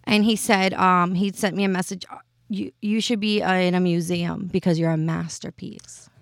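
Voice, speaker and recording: A woman's voice: on the low side, fairly even intonation, moderate pace, gravelly, no echo, clean studio sound. Chatty woman of thirty telling stories. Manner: chatty, anecdotal storyteller. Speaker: podcast co-host. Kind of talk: casual chat.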